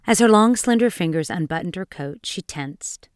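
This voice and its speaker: Female narrator for dark fairy tales: lowish pitch, dramatic scripted read, dry studio-like sound. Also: animated, normal speed, clean tone, no room sound. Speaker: storyteller.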